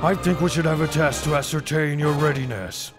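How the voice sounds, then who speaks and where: A man acting a stern, formal courtroom judge in a game fandub, speaking solemnly and deliberately. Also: a little high, fairly even intonation, music in the background, lightly acted. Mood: solemn, authoritative.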